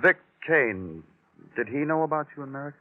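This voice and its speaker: An actor playing a weary, hard-boiled police detective who questions tersely and narrates in a brooding, poetic way in a radio drama. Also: male voice, a little high, lively intonation, normal speed. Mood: probing. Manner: clipped, sardonic, poetic narration. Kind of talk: 1950s radio noir acting and narration. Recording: old narrow-band radio recording with music.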